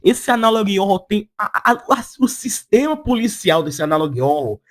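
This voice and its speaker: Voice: mid-range voice, very animated, normal speed. A person with a Brazilian Portuguese accent giving casual opinion commentary as a video essayist, in a blunt, slangy, sarcastic way.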